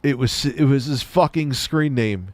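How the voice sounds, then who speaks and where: A man's voice: mid-range voice, measured pace, no room sound. A man hosting a livestream, giving crude, mocking commentary over a video and laughing often. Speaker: livestream host reacting to videos.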